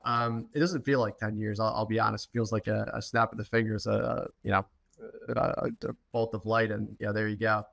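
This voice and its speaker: A man's voice: fairly low pitch, little pitch movement, a little fast, creaky, fairly bright tone. A youngish man from the United States, speaking informally as a podcast guest and telling his own story, often restarting and repeating words. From United States, Philadelphia. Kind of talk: personal storytelling in a podcast interview.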